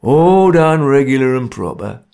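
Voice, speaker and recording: A man voicing a grim, domineering Cockney ringleader, stern, laconic and gravelly, in a story reading. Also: medium pitch, expressive, a little slow, warm tone. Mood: matter-of-fact. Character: grim, domineering male ringleader of bungling conspirators.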